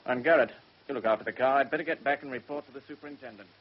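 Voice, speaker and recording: A man playing a businesslike, dogged police inspector with a British accent in a radio drama, brisk and matter-of-fact. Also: medium pitch, natural voice. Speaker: actor in a radio play. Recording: hissy, muffled radio drama recording.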